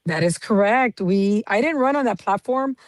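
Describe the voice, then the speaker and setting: A woman in her fifties from San Antonio, Texas, a county clerk giving steady, detailed answers as a guest. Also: medium pitch, moderate pace, no echo, studio quality. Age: 50s. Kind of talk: interview answers.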